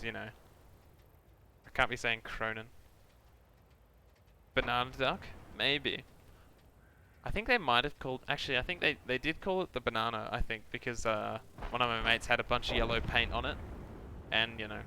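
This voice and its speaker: A man's voice: mid-range voice, moderate pace, pauses often, pushed and bright. A man streaming a game live, chatting casually in a rambling, hesitant way as he thinks aloud. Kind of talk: casual chat while gaming, reading out chat. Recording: game battle noise behind voice. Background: game artillery fire and vehicle engine sounds.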